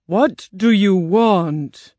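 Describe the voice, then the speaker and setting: Woman putting on a slow, grand, imperious wizard. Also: medium pitch, warm tone, no room sound. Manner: pompous, slow, declaiming. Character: booming unseen wizard, male, grand and imperious. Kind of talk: storybook character voice.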